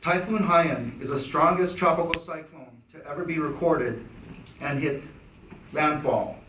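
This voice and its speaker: A man's voice: on the high side, a little slow, bright, forceful tone, slight background noise. Man giving formal remarks, echoey and distant. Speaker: press conference host. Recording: echoey room, distant mic.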